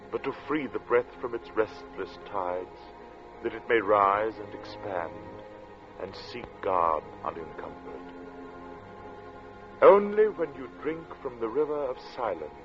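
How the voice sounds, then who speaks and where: An actor playing an earnest narrator of a strange tale, speaking in a measured, theatrical 1940s radio drama style. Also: male voice, lowish voice, big pitch swings. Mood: solemn, intense. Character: earnest male narrator recounting a strange encounter. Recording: muffled vintage radio recording with music.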